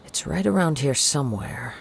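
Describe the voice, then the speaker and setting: Calm, measured young wandering samurai, noisy background. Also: male voice, medium pitch, vocal fry. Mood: calm, watchful. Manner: calm, measured, formal phrasing. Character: calm young male wandering samurai, soft-spoken and watchful. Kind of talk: video game character acting. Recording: noisy game audio with ambience.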